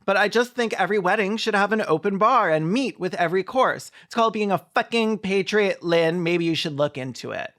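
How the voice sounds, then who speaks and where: Man putting on whiny high mock-aggrieved husband. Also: expressive, a little fast, hardly any pauses, a bit bright. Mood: mock indignant. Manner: mock-dramatic. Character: whiny, mocking parody of aggrieved conservative husband.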